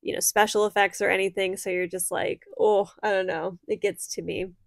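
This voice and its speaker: A woman's voice: medium pitch, level tone, fairly quick, gravelly, no echo. A woman co-hosting a horror review show, talking in a casual, conversational way about films. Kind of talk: casual conversational film discussion.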